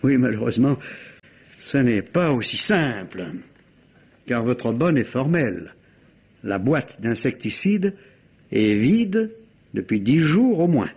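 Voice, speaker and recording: Un comédien joue un inspecteur de police méthodique et courtois, à la voix voilée, poliment insistant, dans un feuilleton policier radiophonique à l'ancienne. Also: male voice, medium pitch, big pitch swings, darkish tone, slightly rough, full character voice. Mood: probing. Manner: deliberate, insinuating, politely insistent. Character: methodical, courteous, probing male police inspector. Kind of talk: old French radio mystery acting. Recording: dull lo-fi vintage radio sound.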